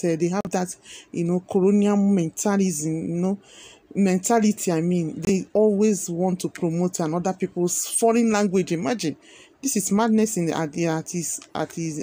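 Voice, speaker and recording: Nigerian man, mellow low-key tone, earnest commentary, repeats points, lots of fillers. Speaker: video commentator. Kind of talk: opinionated commentary.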